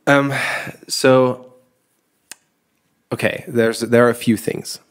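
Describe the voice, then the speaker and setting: A man, co-hosting a podcast in a relaxed, rambling chat. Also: medium pitch, slow, smooth. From Germany. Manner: relaxed, rambling with restarts and laughs. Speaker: podcast co-host. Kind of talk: casual chat.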